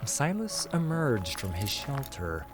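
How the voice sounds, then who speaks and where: A man's voice: medium pitch, big pitch swings, slow pace, over music. A man narrating a scripted fantasy story as a storyteller.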